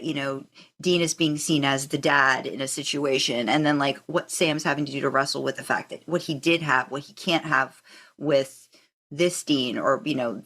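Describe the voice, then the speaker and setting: A woman with a low voice hosts a casual interview podcast. She phrases her questions in a halting, meandering way, circling around a point as she talks. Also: a bit bright.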